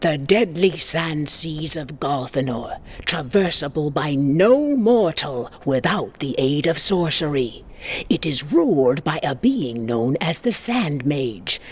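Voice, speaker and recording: A woman's voice: low voice, sing-song, slow pace, husky, full character voice. A woman playing a mysterious lore-keeper, narrating a fantasy video game with dramatic, portentous delivery. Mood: ominous, mysterious. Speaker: campaign narrator.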